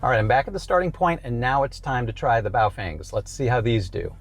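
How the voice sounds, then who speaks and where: A man's voice: medium pitch, a little fast. A man presenting a product range test in a casual, conversational way from inside a car.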